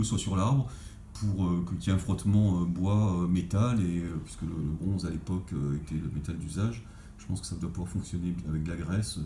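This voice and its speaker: A man's voice: sits low, dark tone, a little hiss or hum. A man explaining a mechanical fix step by step, practical and hesitant, in a clip with faint background ambience. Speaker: guest giving technical advice.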